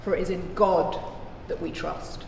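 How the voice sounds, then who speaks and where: A woman playing a solemn, impassioned lawyer who addresses a courtroom with deliberate, rhetorical phrasing. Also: on the low side, fairly even intonation, gravelly, darkish tone. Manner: deliberate, rhetorical, emphatic pauses. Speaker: lawyer giving a closing argument. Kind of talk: courtroom drama speech. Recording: echoey hall with faint noise.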